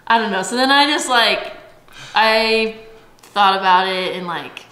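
A woman's voice: medium pitch, a little slow, bright, forceful tone. A woman in her late twenties from Washington state, chatting casually and telling stories with lots of "like" and "um" at home. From Washington state, USA. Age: late 20s. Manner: chatty storyteller, giggly, lots of "like" and "um". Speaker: vlogger. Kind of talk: casual chat. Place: bright home kitchen, indoors. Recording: echoey room.

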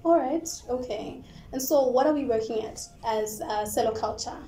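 A woman's voice: a little high, moderate pace, warm tone. A young woman with a Zimbabwean accent hosts a studio interview, asking playful, upbeat questions. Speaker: talk show host. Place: indoor TV studio. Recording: roomy studio with faint noise.

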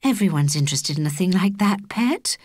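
A British woman voicing a kindly, affectionate mother in a children's audiobook, gently reasoning. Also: lowish voice, animated, moderate pace, no echo, studio quality. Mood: placating. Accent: British English. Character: kindly, placating mother defending others.

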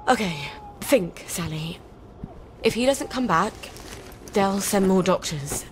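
A woman's voice: mid-range voice, expressive, slow, slight background noise. Anxious young English mother. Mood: worried. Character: anxious young mother, sly and sweetly defiant. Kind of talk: video game acting. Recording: faint game ambience behind.

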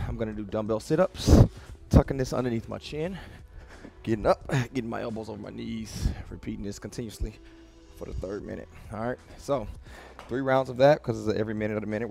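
Male gym coach, 30s, lowish register with fry, casual and motivational, giving clear step-by-step workout instruction. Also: brisk, a little mellow. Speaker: fitness coach. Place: small home-style gym with rubber floor, indoors.

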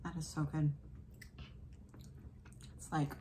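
A woman in her thirties chatting softly and casually while eating on a home food vlog. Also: low voice, slow, slight background noise. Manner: soft, relaxed, savoring food between remarks. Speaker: food vlogger. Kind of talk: casual mukbang chat. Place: small indoor room at a table.

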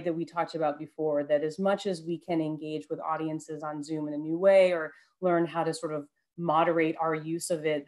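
A young woman with a slightly low voice moderates an online panel. She puts questions to the speakers through a headset on a video call. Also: darkish tone. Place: room at home, video call. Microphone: headset boom mic.

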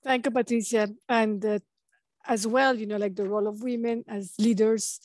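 A woman in her forties moderating an online panel over a video call, polite and hurried with many fillers. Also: on the high side, steady tone, darkish tone, dry recording, clean studio sound. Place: office on a video call, indoors. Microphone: webcam mic on a video call.

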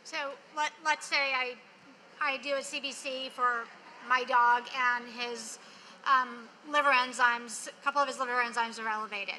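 A woman on a panel presses with pointed questions. Her voice is high and very bright. A long hall reverb trails behind her words. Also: fairly even intonation, moderate pace, a little hiss or hum. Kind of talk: questioning a pitch.

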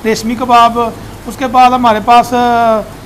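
A man's voice: extremely high pitch, level tone, slow, rough recording. A man, giving a casual interview as a restaurateur and often repeating his words. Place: indoor restaurant seating area. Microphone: clip-on lapel mic. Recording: clear background ambient noise.

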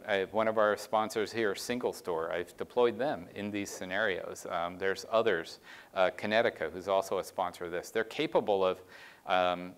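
A middle-aged man on a conference stage giving a keynote talk through a headset mic, explaining slides in a loose, conversational way with many "right?" checks and fillers. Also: on the low side. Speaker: keynote speaker. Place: conference stage in a large dark room.

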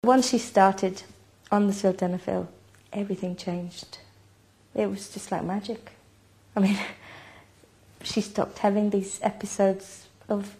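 Mellow-voiced Icelandic woman, even delivery. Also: mid-range voice, vocal fry. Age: 30s. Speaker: mother telling her family's story. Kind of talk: personal interview account. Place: home kitchen, indoors.

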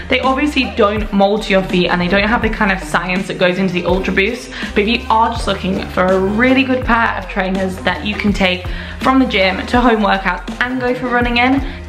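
A young woman talks straight to the camera in a casual product review, bubbly and gushing, full of "like" and "so". Her voice sits in a medium register. The room is echoey, with faint music underneath. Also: mid-range voice. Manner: enthusiastic, gushing, lots of "like" and "so". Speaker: lifestyle vlogger. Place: indoor room at home. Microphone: camera at desk distance.

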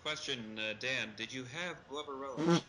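A man's voice: mid-range voice, moderate pace, pushed and bright, a little hiss or hum. A man chatting casually as a podcast panelist. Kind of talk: casual chat.

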